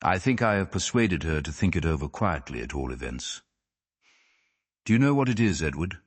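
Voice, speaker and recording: A man's voice: sits low, sing-song, moderate pace, slightly rough, exaggerated voice. A man voicing a fretful young wife who chatters in old-fashioned, gossipy domestic speech in an audiobook.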